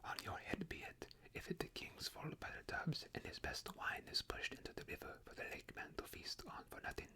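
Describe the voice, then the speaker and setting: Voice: fairly quick, few pauses, pushed and bright, close to an everyday voice. Reader whispering French-accented merry elves. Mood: teasing. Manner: teasing, impatient. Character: merry impatient cellar elves. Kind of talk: whispered ASMR storytelling.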